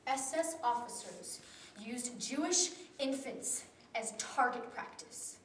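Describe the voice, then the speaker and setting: A teenage girl giving a memorized competition speech with a solemn, deliberate delivery that drops to hushed tones. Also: sits high, a little hiss or hum. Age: teens. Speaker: student speaker. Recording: roomy echo from a distant camera.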